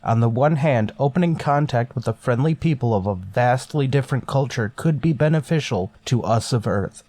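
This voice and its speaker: A man playing a stern, wary starship captain in a sci-fi radio drama, giving curt orders with measured authority. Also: medium pitch, expressive, a little mellow, dry recording, natural voice. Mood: grave, deliberating. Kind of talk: golden age sci-fi radio drama acting.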